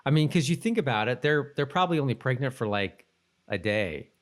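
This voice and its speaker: A man's voice: medium pitch, expressive, a little fast. A man co-hosting a podcast, chatting informally about TV episodes in a rambling, slangy way. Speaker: podcast co-host. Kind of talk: casual TV episode recap chat.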